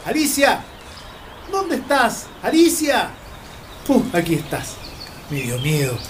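A woman's voice: on the high side, huge pitch swings, takes it slowly, a little hiss or hum, full character voice. A woman voicing a man in his seventies with memory loss, anxious and disoriented, in a community radio drama. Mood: worried scolding. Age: 70s.

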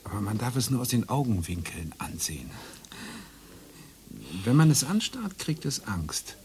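An actor playing a hesitant, ruminative academic in German radio play dialogue. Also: male voice, mid-range voice, very animated, normal speed, a little mellow, a little hiss or hum. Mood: musing. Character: musing, hesitant male academic who studies Strindberg.

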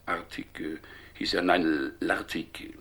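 An actor playing an older provincial innkeeper in a radio play, earthy and gossipy yet guarded, chatty with a gravelly, husky voice. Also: male voice, deep voice, fairly even intonation, unhurried, a bit bright, exaggerated voice. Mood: confiding, reminiscing. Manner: chatty, chuckling, tags remarks with a questioning phrase.

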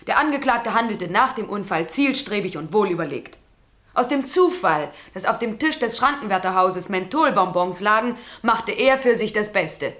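An actress playing a state prosecutor in a German radio crime drama, formal, rhetorical and accusatory. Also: female voice, mid-range voice, expressive, moderate pace, fairly bright tone, natural voice. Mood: accusing. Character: accusing, forceful female state prosecutor in court.

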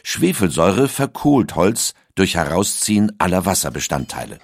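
A man narrating a documentary in a calm, measured storytelling manner. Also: deep voice, gravelly, husky.

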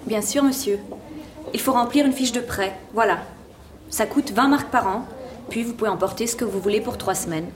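An actress playing a slight, polite French librarian in a radio drama, speaking matter-of-factly. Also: female voice, a little high, fairly quick, slight background noise, close to an everyday voice. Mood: polite, businesslike.

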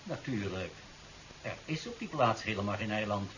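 A domineering male official in a rather low voice. His delivery is formal, assured and condescending. The sound is a muffled 1970s radio drama with faint hiss. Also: animated, normal speed, fairly bright tone. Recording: dull, hissy 1970s radio recording.

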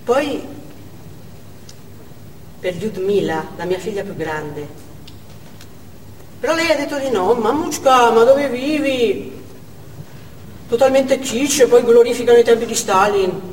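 A woman's voice: fairly high pitch, takes it slowly, pauses often, a little mellow. Actress as chatty working mother, echoey distant stage. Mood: excited, amused. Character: working-class Russian bookkeeper, devoted excitable mother. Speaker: stage actor. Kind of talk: theatrical monologue. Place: indoor theatre stage, recorded from afar. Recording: distant, echoey hall with noticeable noise.

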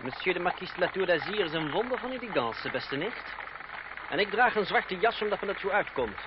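An actor playing a sharp-tongued, bitter but loyal young gentleman in a Dutch radio play, theatrical and mocking. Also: high-pitched, big pitch swings, a little fast. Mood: sardonic. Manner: sardonic, theatrical. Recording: dull, noisy old radio play recording.

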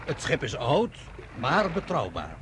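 Slow, lively-toned shipowner. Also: male voice, mid-range voice, noisy background. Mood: matter-of-fact. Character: calm shipowner, unhurried man. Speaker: ship owner. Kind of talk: radio drama acting.

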